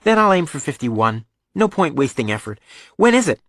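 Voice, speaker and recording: A man's voice: a little high, sing-song, moderate pace, fairly bright tone, no room sound, pitched above the performer's own voice. A man voicing a stubborn, argumentative schoolboy with blunt, wry protests in an audiobook. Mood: sardonic. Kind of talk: audiobook character dialogue.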